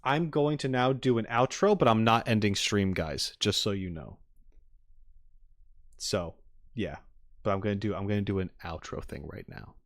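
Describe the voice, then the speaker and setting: A man hosting a livestream, chatting casually and playfully with viewers, rambling and often swinging up into high-pitched emphasis. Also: normal speed, dry recording, studio quality. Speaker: streamer and reaction video host.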